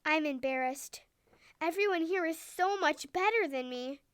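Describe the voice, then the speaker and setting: A woman's voice: high-pitched, measured pace, a bit bright, no room sound, clean studio sound. A woman voicing an anxious, self-doubting young female whale in a bedtime story, timid and hesitant. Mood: embarrassed.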